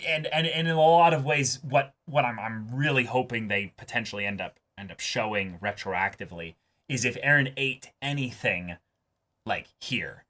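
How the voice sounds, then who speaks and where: A man co-hosting an anime reaction show in casual, excited discussion, speaking emphatically and often repeating words. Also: medium pitch, moderate pace.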